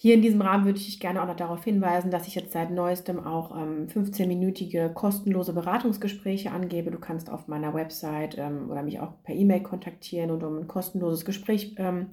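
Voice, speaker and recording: A woman hosting a solo podcast as an academic writing coach, chatting casually with many fillers, her throat slightly hoarse. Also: lowish voice, steady tone, brisk, hardly any pauses, warm tone.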